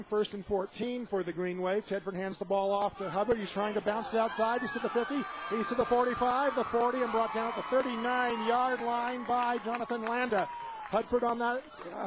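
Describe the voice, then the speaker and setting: American guy doing radio football play-by-play, pretty high voice, noisy outdoor game behind him. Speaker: play-by-play commentator.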